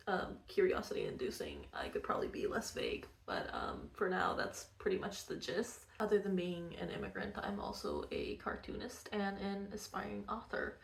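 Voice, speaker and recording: Young woman vlogger from Venezuela; gravelly low edges, candid and soft-spoken, roomy echo. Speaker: vlogger, cartoonist. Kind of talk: casual personal vlog. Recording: echoey room.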